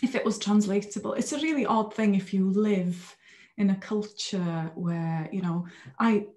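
A woman's voice: medium pitch, measured pace, darkish tone. A woman in her thirties from Wales whose first language is Welsh, speaking reflectively and hesitantly about her novel to a webcam at home. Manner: reflective, hesitant, repeats phrases. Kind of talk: to-camera talk. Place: home room.

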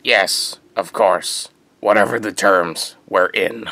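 A man's voice: sits low, fairly even intonation, takes it slowly, gravelly, bright, forceful tone, no room sound, exaggerated voice. An actor playing a scheming, deferential crime lord in a radio drama, formal and placating in the way he talks. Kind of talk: comic-book radio drama acting.

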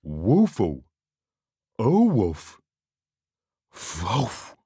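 Storybook skeleton dog: small, bony, excitable, loud exclamatory barks, swooping pitch. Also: male voice, extremely slow, husky, no echo, clean studio sound, full character voice. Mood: muffled protest. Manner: barked, exclamatory woofs. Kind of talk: children's storybook character voice.

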